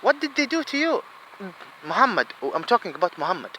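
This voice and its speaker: A man's voice: sits high, very animated, fast talker, pushed and bright, slight background noise, poor audio. A man with an Arabic accent giving a heated solo monologue, repeating himself and mixing in Arabic phrases. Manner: heated, repeats words, switches between English and Arabic.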